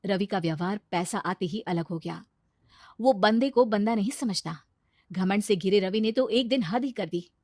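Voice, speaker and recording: A woman's voice: mid-range voice, normal speed, a little mellow, dry recording, studio quality. A woman narrating a scripted moral story as the storyteller.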